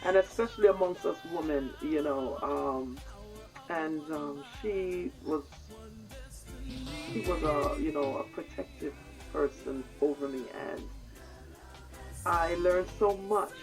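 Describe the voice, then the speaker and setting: A soft-spoken woman with a British-tinged Jamaican accent, speaking in a fairly low voice. She talks haltingly as an interview guest, full of fillers and quick to laugh. Faint music sits low behind her. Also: low-quality recording. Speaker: reggae singer, guest. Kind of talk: casual interview chat. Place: music studio.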